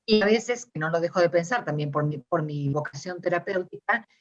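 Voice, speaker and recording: A middle-aged Argentine woman with an Argentine Spanish accent, answering interview questions in a thoughtful, searching way with many pauses and restarts. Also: on the low side, dull audio. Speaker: interviewee, discourse analysis scholar. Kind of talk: reflective conversational interview.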